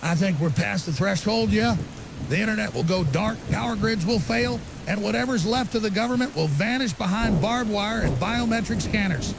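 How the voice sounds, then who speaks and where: High-pitched, gravelly doomsday conspiracy theorist played by a man. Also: moderate pace. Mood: grim, foreboding. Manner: emphatic, ominous, certain, clipped lists. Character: grim, urgent conspiracy theorist man warning of apocalypse. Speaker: interview guest. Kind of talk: fictional apocalypse podcast interview. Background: rain and faint hiss.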